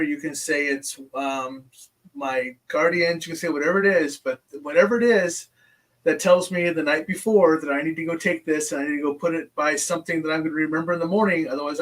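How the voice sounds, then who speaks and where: A man hosts a relaxed interview, his voice sitting fairly high for a man. He talks hesitantly, circling back with restarts and ums. He sounds a little distant from the microphone. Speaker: podcast host. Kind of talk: casual interview chat.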